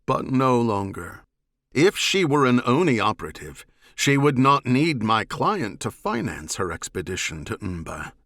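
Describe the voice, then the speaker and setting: A man voicing a cool, evasive alien oath-bound hunter with a measured, formal manner in a science fiction audiobook. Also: mid-range voice, big pitch swings, fairly bright tone, no room sound, clean studio sound, full character voice.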